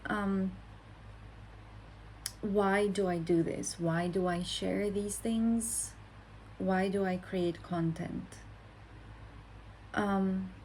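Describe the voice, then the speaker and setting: Slow, gravelly-voiced Romanian woman in her forties. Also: lowish voice, darkish tone, a little hiss or hum, rough recording. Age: 40s. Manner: slow, thoughtful, with many pauses and fillers. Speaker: content creator. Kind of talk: reflective casual monologue to camera.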